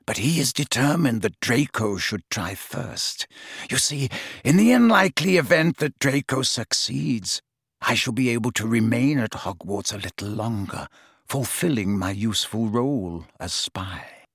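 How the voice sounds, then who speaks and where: A man voicing a cold, guarded man who speaks slowly and quietly. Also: mid-range voice, animated, slightly rough, dry recording, clean studio sound, heavily acted. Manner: slow, quiet, measured. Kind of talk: audiobook character voice.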